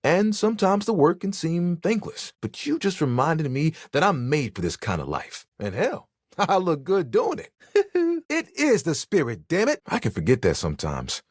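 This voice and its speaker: A man's voice: on the high side, wildly swooping pitch, normal speed, no room sound, studio quality. A man voicing a flirty, laid-back living towel in a video game, playful and heartfelt. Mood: reassured, sincere. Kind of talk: video game character acting.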